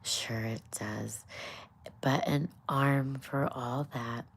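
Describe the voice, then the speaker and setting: A woman voicing a fumbling male servant in a high, squeaky voice with a clumsy put-on accent. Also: steady tone, very slow, creaky, breathy edge, full character voice. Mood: sheepish.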